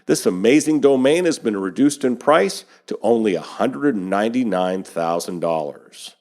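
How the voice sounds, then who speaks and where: A man hosting a podcast, reading industry news from a script and introducing his guest in a steady read-aloud manner. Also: fairly low pitch, normal speed. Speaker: podcast host.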